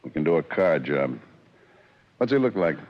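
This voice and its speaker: Brief: deep, menacing hitman, old lo-fi radio drama. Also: big pitch swings, normal speed. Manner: curt, menacing, slangy tough-guy talk. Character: cold tough male hired killer, sneering. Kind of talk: 1940s radio drama acting. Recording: dull lo-fi old radio broadcast.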